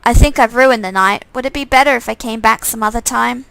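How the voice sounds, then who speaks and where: Lady reading as laid-back young guy in a story game, casual, bright and close up. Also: a little high, a little fast, creaky, clean tone, dry recording. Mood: mild, hesitant. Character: easygoing young man, casual supernatural know-it-some.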